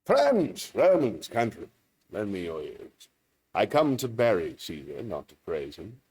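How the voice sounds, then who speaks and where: A man performing a solemn Roman funeral orator in a measured, rhetorical Shakespearean stage monologue. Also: lowish voice, huge pitch swings, darkish tone, husky, full character voice. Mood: solemn oration.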